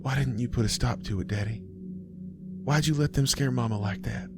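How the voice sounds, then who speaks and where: A man's voice: medium pitch, normal speed, over music, voice pushed up, natural voice. Man voicing an earnest little farm girl. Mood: hurt questioning.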